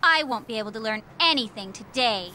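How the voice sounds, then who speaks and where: A woman voicing a spirited, stubborn young witch girl in a cartoon, expressive and emphatic. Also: a little high, fairly quick. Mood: sad, sulky. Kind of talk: animated cartoon voice acting, English dub. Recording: loud music behind the voice. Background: loud cartoon score.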